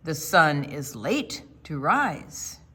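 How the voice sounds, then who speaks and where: Woman voicing a slow, solemn old-wise barn owl. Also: deep voice, sing-song, fairly bright tone, breathy edge, pitched below the performer's own voice, full character voice. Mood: solemn, calm. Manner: slow, measured, weighty pronouncements.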